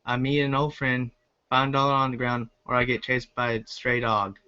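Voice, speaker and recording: A man reading aloud as an amateur storyteller, in a plain, slightly halting way. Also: mid-range voice, flat delivery, bright, forceful tone, clean tone. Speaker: fan fiction reader, video creator. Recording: dull lo-fi home recording.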